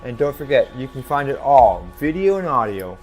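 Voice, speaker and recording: A man's voice: medium pitch, sing-song, moderate pace, a little mellow, soft background music. Upbeat male network announcer. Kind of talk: scripted promo read.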